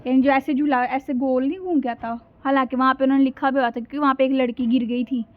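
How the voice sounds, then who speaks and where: A woman chatting casually with family, telling things in an animated way and asking questions. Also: high voice, fast. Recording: dull lo-fi home recording.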